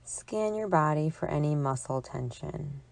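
A woman psychologist leading a guided relaxation meditation in a calm, soothing, measured delivery with long pauses. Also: sits low, vocal fry.

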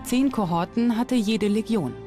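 A woman's voice: a little high, measured pace, dark tone. Woman narrating a documentary over music. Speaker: presenter and reporter of a history documentary. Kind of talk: scripted documentary narration with on-location interview questions.

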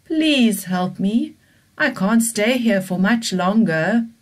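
A woman voicing a big friendly male Tyrannosaurus trapped in a hole, crying out loudly for help in a high voice. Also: animated, takes it slowly. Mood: pleading. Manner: high, loud cries for help.

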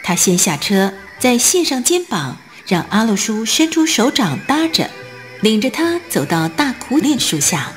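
A woman narrating a scripted radio drama in an expressive storytelling manner. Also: fairly high pitch, unhurried, soft background music.

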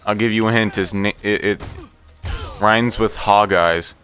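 Man, gaming commentator, slightly low pitch, forward tone, joking, boastful, sweary. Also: fairly even intonation, unhurried, fairly bright tone, faint music behind. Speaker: gaming video host. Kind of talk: casual gameplay commentary.

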